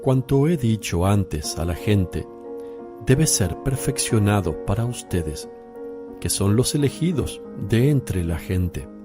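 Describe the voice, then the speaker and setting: An actor playing a calm, solemn male religious teacher who speaks in deliberate phrases, in a radio drama. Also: fairly low pitch, over music. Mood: solemn, instructing. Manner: slow, deliberate, preaching phrasing.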